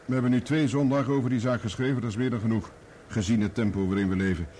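An actor playing a brisk newspaper boss in a Dutch radio play, decisive and dismissive. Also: male voice, medium pitch, fairly even intonation, mellow, natural voice. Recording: old radio play, clear background noise.

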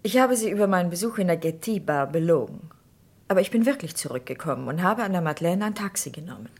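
Well-to-do widow in a radio mystery: husky lower register, formal, defensive dignity. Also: female voice, animated, fast, a little mellow. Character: proud, guarded well-to-do widow under suspicion. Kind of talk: German radio crime drama acting.

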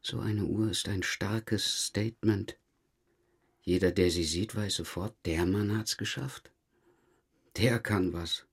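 A man's voice: deep voice, slow, husky, heavily acted. A man acting a police detective in a crime audio drama, dry and pointedly questioning. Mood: dry, probing. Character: male police detective, probing, dryly insistent.

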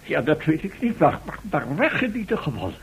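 A man's voice: fairly high pitch, wildly swooping pitch, a little fast. An actor playing a flustered, thrifty male professor in a radio drama, stammering and repeating his words. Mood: panicked. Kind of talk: theatrical radio drama acting. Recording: noisy, crackly old radio play recording.

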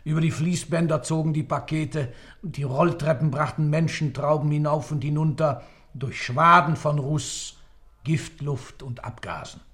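A man's voice: a little high, fairly even intonation, normal speed, a bit bright, natural voice. A man playing a grave, obsessive witness who narrates a radio play in solemn, declamatory poetic style. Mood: solemn fervour.

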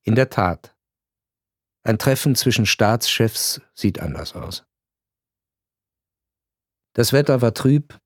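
A man narrating a radio drama in a measured, reflective way, with deliberate pauses. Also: mid-range voice, a little mellow, no echo, studio quality.

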